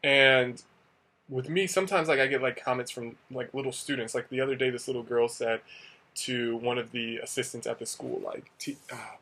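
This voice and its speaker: A young man talks loosely straight to camera, a teacher sharing stories in a casual vlog. His pitch sits right in the middle of a man's range, and he rambles along full of "like" and "you know", circling back on himself. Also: fairly even intonation, normal speed, pushed and bright. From United States. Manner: rambling, conversational, many fillers. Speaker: English teacher in Asia. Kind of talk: casual talking-head vlog.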